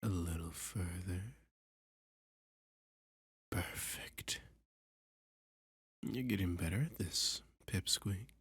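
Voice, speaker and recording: A very deep-voiced villain played by a man in an intimate ASMR roleplay, heavily husky and often dropping into a whisper. He takes his time with every threat, flirty and domineering, taunting his hostage with condescending ease. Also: fairly bright tone, no room sound, exaggerated voice. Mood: commanding, teasing. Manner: slow, domineering, teasing, condescending.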